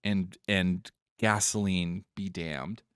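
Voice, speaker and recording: A man's voice: deep voice, fairly even intonation, clear, resonant tone, no room sound, studio quality. A man giving casual, joking, sweary commentary while playing a visual novel on a live stream. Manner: joking, irreverent, sweary, quick to giggle.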